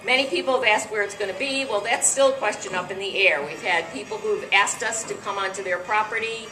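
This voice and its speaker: A middle-aged American woman with a loud voice, hosting an event and giving an informal, chatty talk to a gathering of guests. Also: mid-range voice, a little fast. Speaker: event host and board director. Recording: echoey room from far off, faint music.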